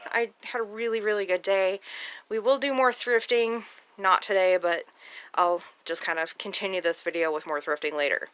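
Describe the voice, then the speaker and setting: A woman's voice: mid-range voice, fairly even intonation, moderate pace, a bit bright, clear, resonant tone, low-quality recording. A woman chatting casually and informally as a reseller vlogger, with mild slang.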